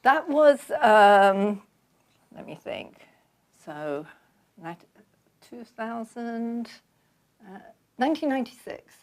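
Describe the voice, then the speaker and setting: An older woman from London with a London accent, calmly telling her life story as a guest in an interview. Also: on the high side. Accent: London English. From London, England. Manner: measured, reflective storytelling. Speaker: guest author. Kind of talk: conversational interview.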